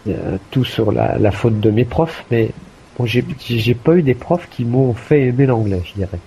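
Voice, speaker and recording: A man chatting casually about learning a language, hesitant, with many restarts and fillers and the odd throat clearing. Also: medium pitch, normal speed, dark tone, a little hiss or hum, rough recording. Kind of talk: casual conversation.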